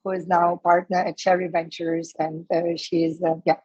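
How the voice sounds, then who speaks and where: A woman in her thirties from Ukraine, hoarse and losing her voice, talking casually as a guest with many fillers and restarts. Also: lowish voice, level tone, moderate pace, a little mellow, no echo, highs cut off. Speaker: guest, startup co-founder. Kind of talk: casual interview answers.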